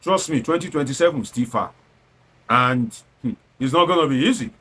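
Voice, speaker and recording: A man's voice: medium pitch, normal speed, fairly bright tone, breathy edge. A man with a Nigerian accent delivers opinionated commentary as a show host, emphatic and exhortatory, mixing in Pidgin and pressing points with rhetorical questions and repetition.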